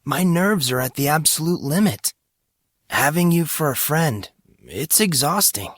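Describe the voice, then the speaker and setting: Man voicing a young male story hero, higher-pitched, teasing, tender, casual, dry close recording. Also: expressive, measured pace, a bit bright, no room sound. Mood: joking, amused. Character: joking, tender young man comforting a girl.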